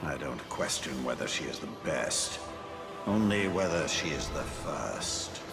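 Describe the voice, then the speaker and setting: Deep, gravelly, commanding elf lord. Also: male voice, lively intonation, full character voice. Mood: solemn. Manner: measured, formal, solemn. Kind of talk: dramatic fantasy game acting. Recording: loud music underneath.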